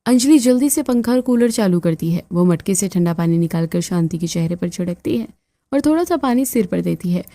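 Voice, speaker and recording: A woman's voice: on the low side, animated, brisk, warm tone, no echo. A woman reading scripted narration for a cartoon story.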